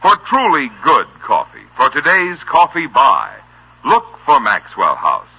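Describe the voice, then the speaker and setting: An American male announcer delivers an old-time radio commercial. His voice is sharp and slightly husky, with lively rises and falls. The selling style is polished and folksy. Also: mid-range voice, measured pace, poor audio. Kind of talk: 1950s radio ad read.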